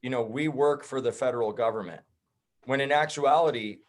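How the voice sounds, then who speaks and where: A man in his thirties talks on a podcast as a guest. He is blunt and heated, and his voice sits at an ordinary middle pitch. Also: a little fast. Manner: blunt, heated, swears and says bro. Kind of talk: casual podcast conversation.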